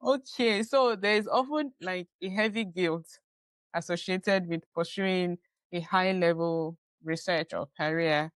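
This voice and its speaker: A woman's voice: fairly low pitch, a little slow, clean tone, no echo. A woman podcast host in a conversational interview, encouraging, often murmuring agreement and laughing easily.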